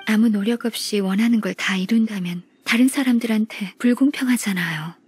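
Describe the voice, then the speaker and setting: A woman voicing a gentle, earnest young immortal's disciple in a video game, polite, soft-spoken and formal. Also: medium pitch, a little fast, breathy edge, dry recording. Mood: earnest, admonishing.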